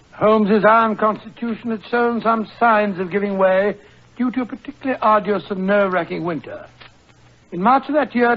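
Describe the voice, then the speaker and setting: An actor playing a middle-aged British doctor, a detective's easily rattled companion, in old-fashioned radio drama style. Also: male voice, high voice. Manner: blustery, old-fashioned storytelling. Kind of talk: 1940s radio drama acting. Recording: muffled old-time radio recording.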